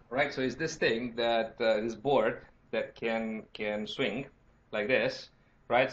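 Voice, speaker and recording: A man's voice: mid-range voice, a bit bright. A man from Romania with a Romanian accent, lecturing informally as a university teacher and often checking in with "right?" and "okay?". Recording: dull, lo-fi lecture recording.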